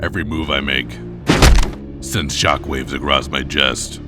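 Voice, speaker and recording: A man's voice: very deep voice, steady tone, a little slow, over loud music. A man voicing a grim, brooding masked vigilante in terse inner monologue for a motion comic. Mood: grim, pained.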